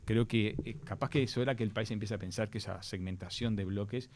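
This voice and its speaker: A man's voice: fairly low pitch, brisk, background noise. A middle-aged man with a Uruguayan accent, a guest on a radio talk show, explaining education policy earnestly with frequent restarts. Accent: Uruguayan Spanish. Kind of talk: radio interview answers.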